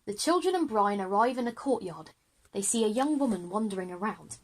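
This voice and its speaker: A woman's voice: mid-range voice, expressive, a little fast. A woman narrating an audio drama for children, reading out scene headings and action. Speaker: narrator and performer of all parts.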